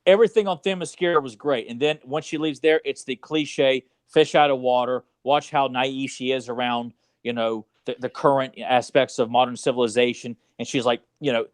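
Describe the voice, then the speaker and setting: A man's voice: mid-range voice, steady tone, moderate pace, few pauses, no echo. Sarcastic man, ranting casually. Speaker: podcast co-host. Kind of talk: casual movie podcast discussion.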